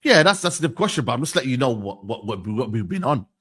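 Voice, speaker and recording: A man argues his point in a casual football debate. His voice sits in an ordinary middle pitch. He rambles, doubles back on his words and repeats phrases. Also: very animated, quick pace, a bit bright, slightly rough. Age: 30s. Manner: rambling, repeats himself, stumbles over words. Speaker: podcast host.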